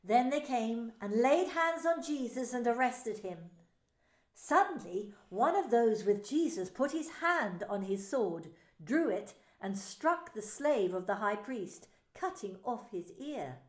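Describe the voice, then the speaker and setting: A woman minister narrating scripture in an expressive, deliberate way. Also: on the high side. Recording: echoey room.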